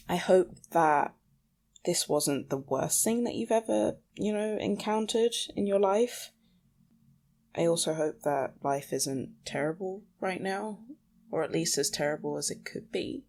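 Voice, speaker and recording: A woman's voice: sits low, a little mellow. A young woman podcasting alone in a rambling, confessional monologue, anxious and self-deprecating. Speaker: podcast host. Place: small bedroom at home. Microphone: small microphone.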